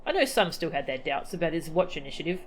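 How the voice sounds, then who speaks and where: A woman's voice: deep voice, big pitch swings, fast talker, slight background noise. A woman voicing a proud, boastful citizen as she reads dialogue from a fantasy game. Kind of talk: reading game dialogue aloud.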